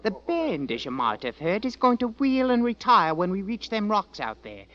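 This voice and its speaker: An actor playing a brash young army fifer with a Cockney accent, grumbling and eager for glory in a radio drama. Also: male voice, sits high, wildly swooping pitch, normal speed, muffled recording. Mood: cocky. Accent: Cockney British. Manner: brash, slangy, grumbling bravado. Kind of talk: 1940s-50s radio drama acting. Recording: old band-limited radio recording, crowd noise.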